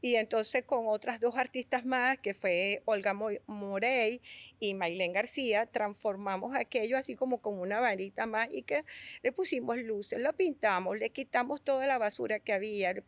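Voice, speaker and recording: A middle-aged Venezuelan woman with a Venezuelan accent, a sculptor telling stories in an interview, animated and restarting her words often. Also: a little high, moderate pace, dry recording. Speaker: guest sculptor. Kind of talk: conversational storytelling.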